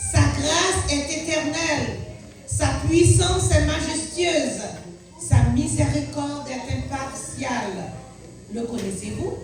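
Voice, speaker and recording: A woman declaims a sacred poem with solemn emphasis. Her voice is high and her phrases come slowly and deliberately. The sound is heavily reverberant, as if spoken in an echoing room. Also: a little mellow. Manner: solemn, emphatic, declamatory, repeated rhetorical questions. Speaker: reciter of a religious poem. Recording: very echoey room, distant mic.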